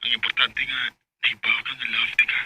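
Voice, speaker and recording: An actor with a Bisaya accent from the Philippines, playing an earnest, tentative young suitor in a radio drama. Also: male voice, medium pitch, flat delivery, a little fast, sharp tone, breathy edge, heavily acted. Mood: earnest, lovelorn.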